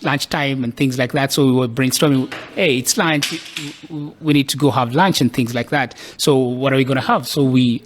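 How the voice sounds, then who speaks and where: A man from Kenya, a podcast guest, thoughtfully recounting his life in a casual, reflective way. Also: medium pitch. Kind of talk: casual interview storytelling.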